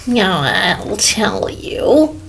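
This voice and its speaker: Woman as a slow, whispery old storybook hermit. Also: medium pitch, very animated, gravelly, fairly bright tone, a little hiss or hum, full character voice. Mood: slow, secretive. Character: secretive old Once-ler, whispering slow tale from his hiding place.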